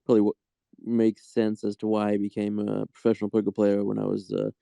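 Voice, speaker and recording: A man in his thirties talks in a low, gravelly voice that stays smooth and mellow. He answers at an easy pace and stops often to think. The sound is dry and close, with no room echo. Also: fairly quick. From Australia. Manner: relaxed, thoughtful, many ums. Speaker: guest. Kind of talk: casual interview.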